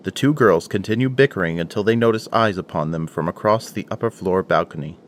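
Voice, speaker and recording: A man's voice: low voice, brisk, a little hiss or hum. A man giving scripted, dramatic narration for an action audio drama. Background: prominent music.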